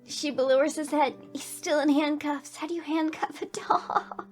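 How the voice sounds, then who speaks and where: High-pitched, emotive woman narrating gameplay. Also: steady tone, normal speed, a little mellow, faint music behind. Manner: emotive, reactive. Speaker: gaming video host, reading narration aloud. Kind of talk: expressive game read-aloud with commentary. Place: voice-over on gameplay with game music behind.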